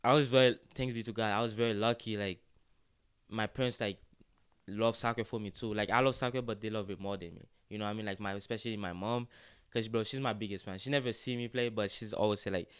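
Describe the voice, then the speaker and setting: Young man from Haiti, low smooth voice. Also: fast talker, dry recording. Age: young adult. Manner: relaxed, repeats "yes, sir" and "like". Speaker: student-athlete guest. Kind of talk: casual interview chat.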